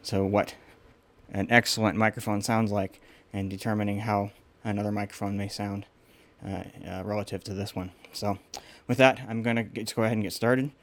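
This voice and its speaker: A man hosting a casual audio equipment demo, explaining in a halting, loosely improvised way. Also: lowish voice, steady tone. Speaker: video host, audio equipment reviewer.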